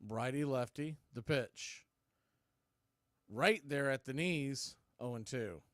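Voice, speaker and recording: A man's voice: medium pitch, slow, no echo, clean studio sound. A man announcing baseball in a play-by-play commentary style.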